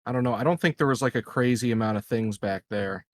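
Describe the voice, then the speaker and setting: A man with a mid-pitched voice plays in a tabletop game. He speaks casually and hesitantly, searching for his words, in very dry audio. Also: fast talker, no echo. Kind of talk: casual improvised game talk.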